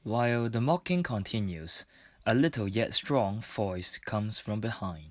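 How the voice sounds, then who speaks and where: Low-voiced man narrating a drama. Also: lively intonation, unhurried. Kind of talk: scripted story narration.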